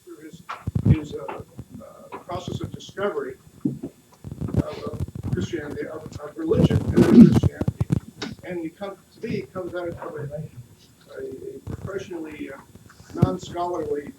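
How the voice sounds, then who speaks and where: A man in a church study group speaks haltingly, searching for his words, with a gravelly high voice. He is far from the mic in an echoey room with loud background noise. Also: expressive. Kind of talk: casual discussion.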